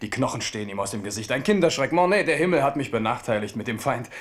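A man's voice: mid-range voice, lively intonation, fast, lightly acted. An actor as an impetuous, mocking young king. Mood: scornful. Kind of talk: German radio drama acting.

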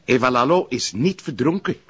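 An actor playing a principled police officer in a crime drama, measured and dryly ironic, with a Flemish accent. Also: male voice, mid-range voice, animated, a bit bright, slightly rough. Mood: businesslike. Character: principled, patient male police officer, mildly reproachful colleague. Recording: muffled drama soundtrack.

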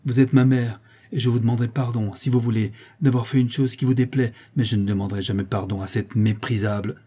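A man's voice: medium pitch, fairly even intonation, brisk, warm tone, breathy edge, natural voice. A man voicing a proud, stubborn little aristocratic boy in a storybook, with a haughty, vehement delivery.

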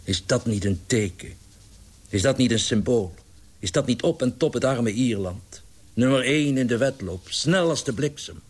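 A man's voice: on the low side, lively intonation, normal speed. A man voicing a big, boisterous, doting pigeon owner in a storybook, exclaiming and coaxing with plaintive indignation. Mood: lamenting.

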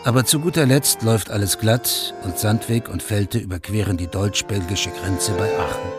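Man, radio drama narrator, scripted, measured, fairly low pitch, prominent background music.

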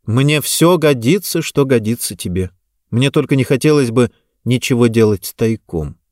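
A man's voice: mid-range voice, lively intonation, normal speed, a little mellow, dry recording, clean studio sound, natural voice. A man voicing a frank, uneasy young suitor in a novel reading, plain-spoken and earnest.

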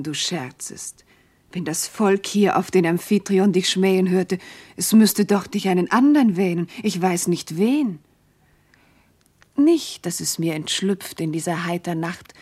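An actress playing a tender, devoted young noble wife in classical verse, earnest, lyrical and often hushed. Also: female voice, fairly low pitch, a little slow, slightly rough. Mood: gently puzzled. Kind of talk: classical verse radio drama acting.

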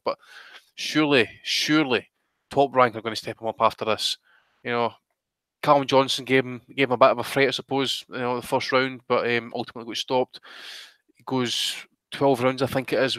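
A man's voice: medium pitch, sharp tone, smooth, dry recording. A man co-hosting a casual podcast, rambling and repeating himself as he works through his point. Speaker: podcast co-host. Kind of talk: casual podcast chat about boxing.

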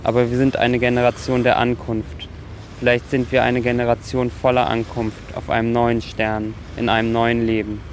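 A man's voice: medium pitch, completely flat delivery, normal speed, a little hiss or hum. A man speaking in an earnest, reflective and literary way. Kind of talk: reflective account and solemn literary reading.

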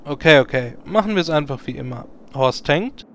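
Man as a wry, higher-pitched raid leader. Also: lively intonation, moderate pace, a bit bright, faint music behind. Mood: brisk, commanding. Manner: sarcastic. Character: wry, exasperated raid leader giving orders. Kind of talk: German audio-play parody acting.

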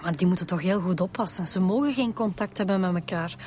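An actress with a Flemish accent playing a wry, outspoken police detective in a radio drama, with an informal way of talking. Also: female voice, on the low side, fast, a little mellow, lightly acted. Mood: objecting.

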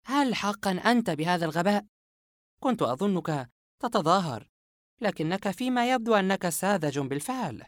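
A narrator voicing a mocking young woman in a horror audiobook, scornful and cold as she confesses a dark secret. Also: mid-range voice, normal speed, dry recording, studio quality, pitched above the performer's own voice, lightly acted.

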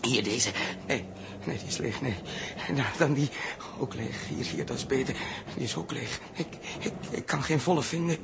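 A man acting a helpless, parched man who pleads and complains haltingly, in a 1960s radio drama. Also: mid-range voice, lightly acted. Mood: pleading, desperate. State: weak, parched. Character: helpless, thirsty, pleading man crawling for beer. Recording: noisy 1960s radio recording.

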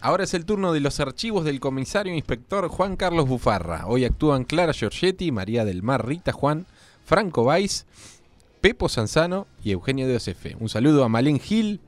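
A man's voice: fairly high pitch, animated, normal speed. A man presenting a live radio show, reading credits and sponsor plugs with informal asides. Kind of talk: live radio announcing with ad-libs. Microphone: close studio microphone.

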